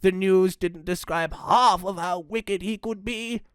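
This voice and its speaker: A woman's voice: medium pitch, a little slow, smooth, dry recording, clean studio sound, close to an everyday voice. A woman voicing a remorseful male echidna in a children's story, speaking in a sad, subdued manner. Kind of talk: children's story character acting.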